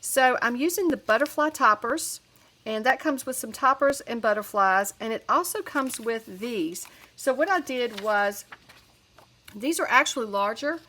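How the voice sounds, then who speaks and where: A woman's voice: fairly high pitch, unhurried, bright, forceful tone. A woman with a head cold, chatting casually through a crafting tutorial with lots of fillers and restarts. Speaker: crafting channel host.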